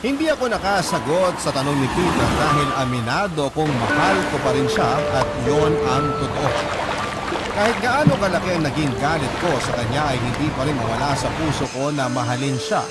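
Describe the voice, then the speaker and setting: A man's voice: on the high side, animated, normal speed, very noisy. A man with a Filipino accent narrating a love story in the first person, as a host reading out a letter. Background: loud music.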